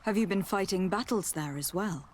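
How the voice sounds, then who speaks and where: A woman's voice: mid-range voice, normal speed, slight background noise, natural voice. An actress playing a polite, inquisitive noblewoman diplomat in a video game, composed and formal. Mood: polite, inquiring. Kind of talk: formal scripted game dialogue.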